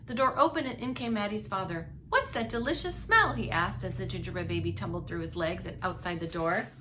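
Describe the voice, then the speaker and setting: A woman's voice: fairly low pitch, fairly quick, fairly bright tone. Woman reading a storybook, faint background noise. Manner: emphatic storytelling with asides to listeners. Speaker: preschool teacher reading aloud. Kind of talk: expressive storybook read-along for young children.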